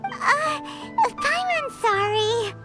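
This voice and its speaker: Game role: tiny cheeky fairy sidekick, extremely high childlike squeak, lively swoops, music behind. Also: female voice, extremely slow, heavily acted. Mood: sheepish. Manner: chirpy, speaks of self in third person. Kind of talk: video game voice acting. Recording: bouncy background music under the voice.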